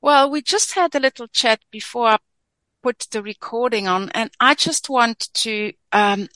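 Radio host, woman, bright and sharp tone, dry studio sound, effusive and gently probing. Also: on the high side, unhurried, dry recording. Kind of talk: radio interview.

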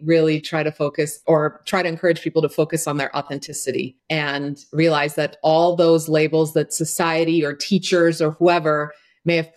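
A middle-aged woman from Croatia, a podcast guest talking candidly and openly, often saying "you know" and "like". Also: deep voice. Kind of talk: conversational interview.

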